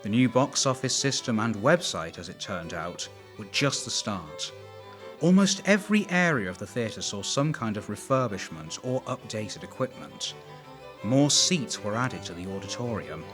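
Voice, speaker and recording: A man's voice: lowish voice, very animated, normal speed, fairly bright tone, faint music behind. A man reading scripted documentary narration as the narrator of a history series.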